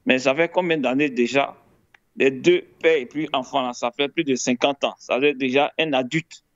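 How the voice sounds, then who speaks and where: A man's voice: mid-range voice, fairly even intonation, normal speed, highs cut off. A man commenting in a radio debate, talking in a loose, rambling way and addressing others familiarly. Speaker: panelist.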